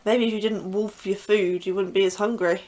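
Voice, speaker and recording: A woman's voice: medium pitch, steady tone, normal speed, a little mellow, lightly acted. A woman playing an anxious, questioning astrobiologist in a sci-fi horror audio drama. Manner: earnest and questioning, a little anxious.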